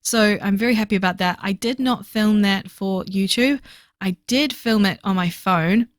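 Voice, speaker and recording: A woman recording a casual voiceover for her reading journal video, chatty and fast-talking with lots of fillers, her voice slightly hoarse. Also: medium pitch, no echo, studio quality. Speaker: book vlogger.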